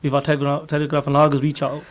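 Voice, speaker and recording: A man's voice: a little high, completely flat delivery, racing. A man speaking as a recruiter guest in a radio interview, explaining earnestly and repeating his points. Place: radio studio.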